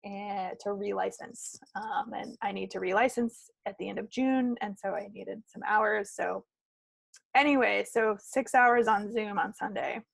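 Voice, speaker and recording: A woman's voice: medium pitch, fairly even intonation, a little slow, vocal fry, no echo, rough recording. A woman co-hosting a podcast, chatting casually in a rambling, self-deprecating way. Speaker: podcast co-host. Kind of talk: casual chat about knitting projects.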